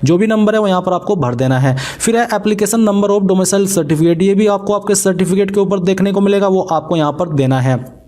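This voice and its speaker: A man's voice: high-pitched, moderate pace, a little mellow. A man narrates a step-by-step screen tutorial in an instructional way, mixing in English terms. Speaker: online tutorial presenter.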